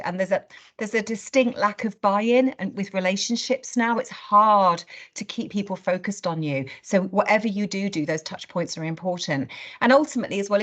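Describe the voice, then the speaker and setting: Woman, webinar host, mid-pitched voice, conversational, blunt, informal, rhetorical questions. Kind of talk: conversational training webinar talk.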